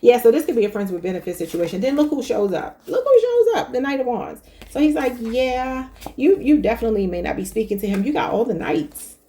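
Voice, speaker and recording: A woman's voice: a little high, lively intonation, a little fast, few pauses, mellow. A woman giving a casual tarot reading to her viewers, chatty and thinking aloud, calling them y'all. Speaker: tarot reader.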